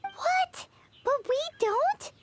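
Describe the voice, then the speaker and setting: Tiny, very high squeaky fairy companion. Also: female voice, animated, drawn-out words, heavy background noise, exaggerated voice. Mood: indignant. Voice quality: squeaky, childlike. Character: small squeaky childlike fairy companion, eager and cheeky. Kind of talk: video game voice acting.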